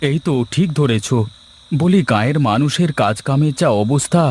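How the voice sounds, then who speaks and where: A man's voice: medium pitch, darkish tone, close to an everyday voice. A man playing a poor, worried village husband in a cartoon folk tale, speaking in a plain, emphatic way. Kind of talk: cartoon dialogue voice acting. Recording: music behind the voice.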